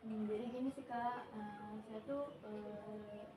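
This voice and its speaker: A woman's voice: medium pitch, fairly even intonation, slow pace, over music. A young woman playing a client in a counseling role-play, talking casually and rambling with fillers. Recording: echoey room, distant mic, noise.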